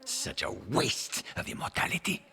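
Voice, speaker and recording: An actor playing a stern, righteous zealot in a video game, speaking in a grave, accusatory and deliberate manner. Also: male voice, bright, forceful tone, close to an everyday voice. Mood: scornful, grave. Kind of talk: video game cutscene acting.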